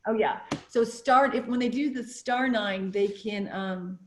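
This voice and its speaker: A woman's voice: medium pitch, a little slow, noisy background. A woman hosting a webinar, chatting casually beforehand and rambling with fillers and restarts. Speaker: webinar host.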